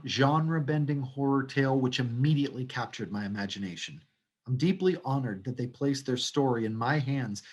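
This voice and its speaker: Husky, theatrical man giving an introduction. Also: mid-range voice. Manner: theatrical, wry, self-mocking. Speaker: theater company director and playwright introducing a livestream reading. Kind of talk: scripted introduction speech with playful asides.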